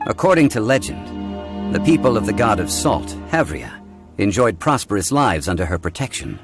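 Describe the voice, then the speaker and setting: A learned, courteous video game gentleman with a fairly low voice. He explains things formally and calmly, yet with lively intonation, over clear background music. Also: moderate pace. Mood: composed, scholarly.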